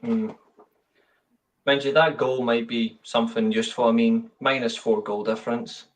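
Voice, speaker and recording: A man's voice: medium pitch, normal speed, distant mic. A man co-hosting a football watchalong, bantering and swearing in casual chat. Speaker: watchalong co-host.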